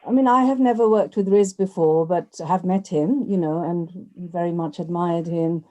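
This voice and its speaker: A woman's voice: fairly low pitch, moderate pace, warm tone, low-quality recording. A woman actress talking in an interview, chatty and full of "you know" fillers. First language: not Urdu. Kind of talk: conversational interview.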